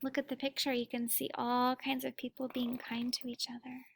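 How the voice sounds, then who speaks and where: A woman reading a children's story aloud like a teacher, in an expressive, deliberate way, with asides to her young listeners. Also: sits high, gravelly, husky.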